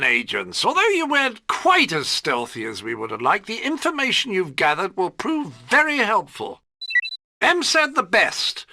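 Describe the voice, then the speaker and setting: A fussy gadget master from a spy video game, brisk and dryly precise. The voice sits high, with a piercing brightness and a lively lilt. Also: male voice, no echo, heavily acted. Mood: dry, resigned. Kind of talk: video game mission instructions.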